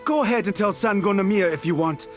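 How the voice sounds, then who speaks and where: An actor playing a fiery, embittered resistance soldier in a video game, proud and defiant. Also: male voice, high voice, fast, soft background music, natural voice. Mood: bitter, impassioned. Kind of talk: dramatic video game character acting.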